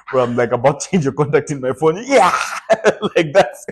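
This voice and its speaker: A young man from Zambia, a comedian guest telling stories in a relaxed podcast chat, with lots of fillers and laughter. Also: a little high, moderate pace, husky. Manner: storytelling, laughs often, many fillers.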